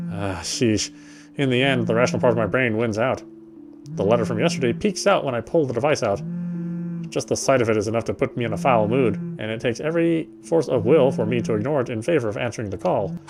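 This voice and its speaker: A man reading a horror story aloud in dramatic narration, sometimes stumbling and rereading phrases. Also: mid-range voice. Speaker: gameplay streamer reading a visual novel aloud. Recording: music behind the voice. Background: game music.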